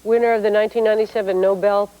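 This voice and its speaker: A woman hosting a late-1990s daytime TV talk show, chatty and exclamatory and quick to react to her guest. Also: medium pitch, little pitch movement, dull audio, noisy background.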